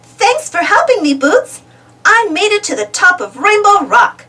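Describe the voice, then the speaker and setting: A woman's voice: very high voice, sing-song, a little slow, pushed and bright, far from the mic, pitched above the performer's own voice, exaggerated voice. A woman voicing a cheerful, eager little girl adventurer in a children's storybook, exclaiming and putting questions to the listener. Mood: happy. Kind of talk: children's storybook read-aloud.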